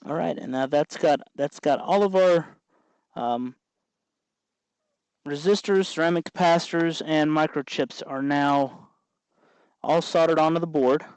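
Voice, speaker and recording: A man hosting an informal how-to tutorial, explaining step by step in an unhurried way as he works with his hands. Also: a little high, darkish tone, clean tone, no room sound, low-quality recording. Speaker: host of a DIY electronics tutorial.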